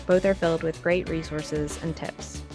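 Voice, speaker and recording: A woman's voice: sits low, steady tone, moderate pace. A woman hosting with scripted podcast-style narration. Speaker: host and narrator. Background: music.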